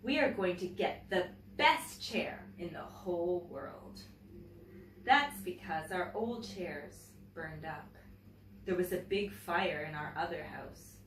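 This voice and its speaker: Roomy, expressive woman reading to children. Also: on the low side. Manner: expressive, deliberate phrasing, addressing young listeners. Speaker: teacher reading picture books to children. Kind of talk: read-aloud storytelling for children with chatty asides. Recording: roomy, distant mic, faint background noise.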